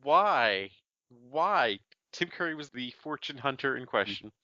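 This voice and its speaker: A male podcast co-host with a bright, forceful voice works through a film plot in loose conversation. He stumbles and restarts often. The recording is dry and a little dull. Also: mid-range voice, very animated, a little slow, no room sound. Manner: rambling plot summary with fillers and restarts. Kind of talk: casual film review chat.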